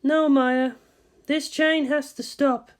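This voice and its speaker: A cool senior woman scientist acted by a female reader, high in pitch and measured in delivery. She lectures dryly, like a world-weary anime mentor guiding her junior. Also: fairly even intonation. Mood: weary, sad. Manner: measured, didactic, dry. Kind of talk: anime fan fiction character voice.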